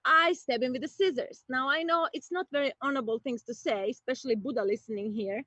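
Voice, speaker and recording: High-voiced woman from Croatia joking. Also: no echo. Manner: chatty, playful, slow deliberate delivery with bursts of excitement. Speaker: stand-up comedian. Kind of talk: stand-up comedy set streamed from home. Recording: dull lo-fi stream audio.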